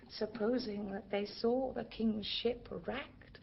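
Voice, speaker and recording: High-pitched airy spirit, theatrical. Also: female voice, fairly even intonation, slow pace, creaky, slight background noise. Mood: hushed, satisfied. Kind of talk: theatrical Shakespearean audio drama acting. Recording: muffled lo-fi audio, music behind.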